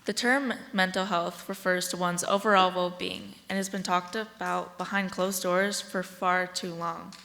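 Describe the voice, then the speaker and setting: A teenage young woman with an American accent gives an earnest, deliberate prepared speech to the public. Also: fairly low pitch, steady tone, creaky, bright, forceful tone. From Washington State, United States. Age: teens, about sixteen. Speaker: youth advocate and speaker. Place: large indoor hall, speaking from a stage. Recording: echoey hall with long reverb.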